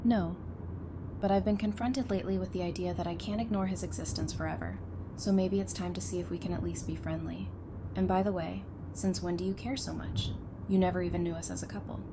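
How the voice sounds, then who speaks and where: A tough-minded, guarded survivor, played by a woman, talks frankly in a slightly low voice. It is conversational audio drama with wry delivery and clear background noise. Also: fairly even intonation, fairly quick, close to an everyday voice. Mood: guarded, firm.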